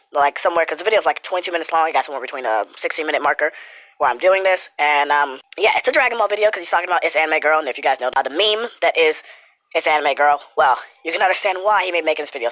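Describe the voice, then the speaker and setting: A man's voice: fairly high pitch, bright, forceful tone, no echo. A man with a cold and a stuffy nose, rambling through a casual commentary with lots of swearing and filler words. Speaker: YouTuber commentator.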